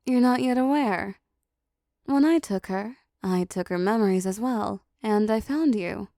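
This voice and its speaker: A possessive demon seductress, acted by a woman, speaks slowly and teasingly in an intimate roleplay. Her soft, mellow voice drags into a creaky fry, dominant yet doting. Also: medium pitch, no echo, studio quality. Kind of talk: intimate roleplay audio acting.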